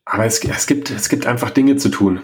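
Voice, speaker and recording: A man's voice: medium pitch, fairly quick, a little hiss or hum. A man co-hosting a podcast in casual banter, with a playful, chatty manner. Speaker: podcast co-host.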